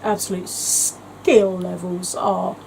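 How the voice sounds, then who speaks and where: A woman's voice: lowish voice, flat delivery, slow pace, gravelly, darkish tone, a little hiss or hum, rough recording. A woman talking in a chatty, gushing way as a beauty vlogger, with frequent ums. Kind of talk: casual vlog chat.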